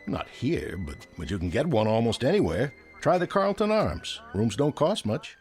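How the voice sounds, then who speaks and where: An actor playing a chatty, coaxing big-city bartender in a radio drama, talking in a folksy, colloquial way. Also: male voice, mid-range voice, big pitch swings, moderate pace, a little mellow, close to an everyday voice. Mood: placating. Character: chatty, placating male city bartender, easily exasperated. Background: background music.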